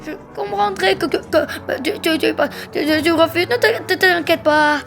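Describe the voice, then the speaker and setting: Anxious, kindly cartoon rag doll female performer, very high voice, heavy stammer with repeated syllables, amateur dub. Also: moderate pace, creaky, a bit bright, full character voice. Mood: nervous pleading.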